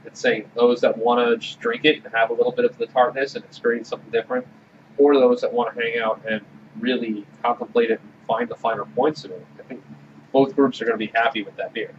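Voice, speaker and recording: A man's voice: medium pitch, steady tone, quick pace, recorded from a distance. Mid-voiced man leading a beer podcast. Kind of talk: casual beer-tasting chat. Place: small room with orange walls. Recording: dull sound with faint hiss.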